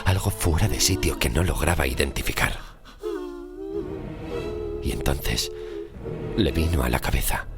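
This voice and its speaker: A man narrating a scripted horror story in deliberate, suspenseful phrases. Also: very deep voice. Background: loud music.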